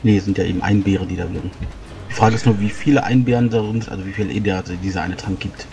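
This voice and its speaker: A man's voice: low voice, fast talker, creaky, dark tone, faint music behind. A man explaining tabletop game rules in detail and in a casual way, as a player. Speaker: tabletop role-playing player. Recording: faint background noise.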